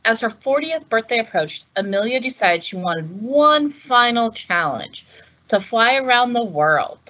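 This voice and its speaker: Bright-voiced woman reading aloud, slightly muffled recording. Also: on the high side, big pitch swings, measured pace. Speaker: read-aloud host. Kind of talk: scripted picture-book narration.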